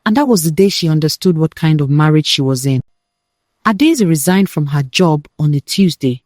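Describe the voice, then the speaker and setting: A woman narrating a scripted dramatic family tale as a storyteller, in deliberate, measured phrases with pauses for weight. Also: sits low, expressive, a little mellow, no echo, clean studio sound.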